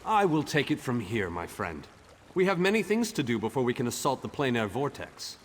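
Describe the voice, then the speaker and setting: An actor playing a theatrical, genial and self-important mage leader in a fantasy video game. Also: male voice, mid-range voice, big pitch swings, fairly quick, natural voice. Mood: resolute. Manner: grandiloquent, theatrical. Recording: long hall reverb, faint noise.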